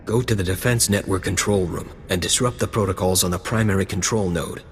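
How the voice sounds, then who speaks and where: Deep-voiced man playing a gruff gang lieutenant. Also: fairly quick, in a large hall, a little hiss or hum, close to an everyday voice. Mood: commanding. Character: gruff male gang lieutenant recruiting for a criminal crew. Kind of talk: video game dialogue acting.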